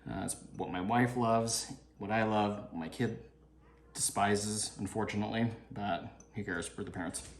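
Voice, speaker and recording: A man's voice: on the low side, normal speed, slight background noise. Laid-back man cooking in an echoey kitchen. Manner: laid-back, chatty, swears casually. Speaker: home cook vlogger. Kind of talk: casual cooking narration. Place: home kitchen, indoors.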